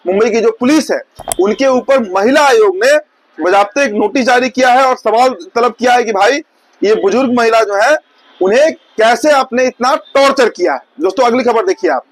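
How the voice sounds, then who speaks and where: A man's voice: very high-pitched, poor audio. A man presenting news in an informal, explanatory way, talking straight to viewers and often rephrasing his points.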